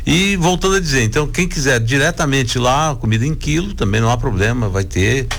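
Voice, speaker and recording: A man who is president of an Italian cultural club, speaking as a radio guest and explaining plans hesitantly, with many pauses and restarts. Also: medium pitch, animated, vocal fry, fairly bright tone.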